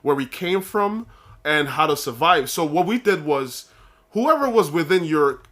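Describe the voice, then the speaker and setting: A man's voice: a little high, lively intonation, measured pace, fairly bright tone. A man from Guyana, raised in Saint Lucia, co-hosting a casual podcast, explaining his views earnestly with many restarts and "okay?" checks. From born in Guyana, raised in Saint Lucia. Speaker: podcast co-host.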